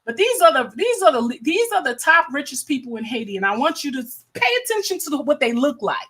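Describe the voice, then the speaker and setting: A woman's voice: high-pitched, sing-song, fast talker, a bit bright. An American woman hosting a livestream with casual, impassioned commentary, stressing points by repeating her phrases. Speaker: livestream host.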